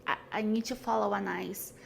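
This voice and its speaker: A woman's voice: medium pitch, fairly even intonation, brisk, vocal fry, a little hiss or hum. A woman, talking earnestly and frankly as a podcast guest, with many fillers and restarts. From Brazil. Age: 40s. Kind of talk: casual conversation.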